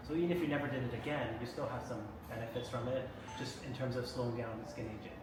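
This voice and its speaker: A man's voice: medium pitch, fairly even intonation. A man who is a doctor, explaining treatments in casual chat, tagging his points with "right?". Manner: fast, explains with repeated "right?" tags. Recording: echoey room, far from mic, noisy.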